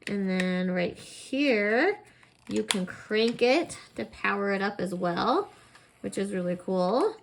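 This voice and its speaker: A woman's voice: medium pitch, animated, takes it slowly, vocal fry, a little hiss or hum. A woman giving a casual product review, explaining things plainly step by step.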